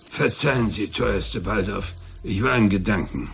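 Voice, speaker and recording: Brooding, gravelly TV producer. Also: male voice, fairly low pitch, unhurried, fairly bright tone, soft background music. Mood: distracted. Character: vengeful, brooding male TV show producer of the future. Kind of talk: German radio drama acting.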